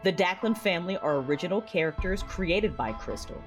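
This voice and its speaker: Low-voiced woman reading podcast credits. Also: expressive, a little fast. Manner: friendly, chatty, reads credits. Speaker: podcast creator and host. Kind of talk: casual closing announcements and credits. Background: music.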